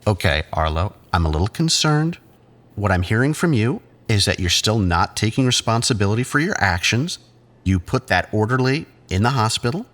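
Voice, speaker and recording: A male actor playing a condescending, controlling psychiatrist who talks in patronizing, measured therapy-speak in an audio drama. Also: fairly low pitch, sing-song, fairly bright tone. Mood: probing, condescending.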